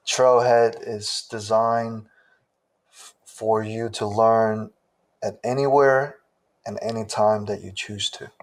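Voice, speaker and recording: A man from southern China answering interview questions in a measured way, pausing often with "uh". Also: fairly low pitch, fairly even intonation. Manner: slow, measured, with frequent "uh" pauses. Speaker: interview guest.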